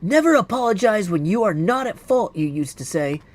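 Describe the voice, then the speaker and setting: A man's voice: high voice, sing-song, moderate pace, voice pushed up. A man voicing an earnest, dutiful young princess witch from a video game, speaking formally and from the heart. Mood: apologetic, tender. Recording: clear background noise.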